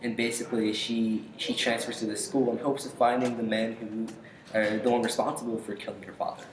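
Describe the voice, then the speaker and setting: A man's voice: mid-range voice, flat delivery. Hesitant young man in an echoey room. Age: young adult. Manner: hesitant, halting, pausing often. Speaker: interview guest, aspiring voice actor. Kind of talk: casual interview answers. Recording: echoey room with faint noise.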